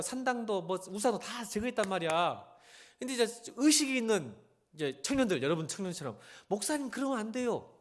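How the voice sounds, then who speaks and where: Male pastor, sermon delivery, highly animated pitch swings, conversational exhortation with pointed rhetorical questions. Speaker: senior pastor. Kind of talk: church sermon with Bible reading.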